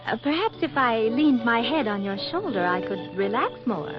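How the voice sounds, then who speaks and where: An actress playing a brash, flirtatious American magazine photographer in a 1940s radio drama, sassy and wisecracking. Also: female voice, a little high, expressive, moderate pace, close to an everyday voice. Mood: coy, flirtatious. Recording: muffled vintage radio broadcast, music behind.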